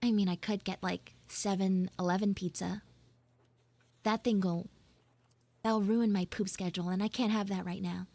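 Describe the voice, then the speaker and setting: A woman's voice: mid-range voice, steady tone, moderate pace, long pauses, a little mellow, dry recording, pitched below the performer's own voice, close to an everyday voice. A young woman playing a flustered, pleading tsundere schoolgirl in a live roleplay, rambling and stammering dramatically. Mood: whiny, desperate. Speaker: live audio roleplay streamer. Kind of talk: improvised live character roleplay.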